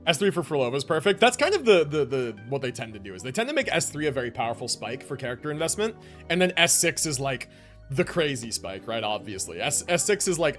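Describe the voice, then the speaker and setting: A man's voice: medium pitch, fairly bright tone. A man casually commentating a live game stream, chatting with viewers in rambling, informal gamer slang.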